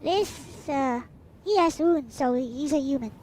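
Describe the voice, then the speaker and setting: A woman's voice: sits high, measured pace, darkish tone, slight background noise. A woman giving casual live commentary as she plays a game, thinking aloud and speculating in short fragments. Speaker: streamer playing a visual novel.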